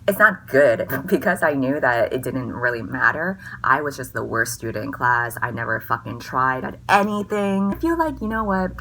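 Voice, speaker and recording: A woman in her twenties talks in a very low, bright-edged voice, a bit tipsy and full of sarcasm. She swears freely and plays things up with mock drama. A faint background hum sits under the recording. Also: poor audio. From US West Coast. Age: 20s. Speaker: vlogger. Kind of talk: casual tipsy Q&A chat.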